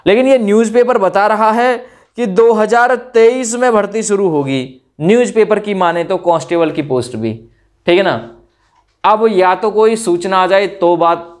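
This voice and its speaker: A man's voice: high voice, moderate pace. A man gives an informal update to viewers in a conversational, explanatory way. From India. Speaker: YouTube host giving recruitment exam updates.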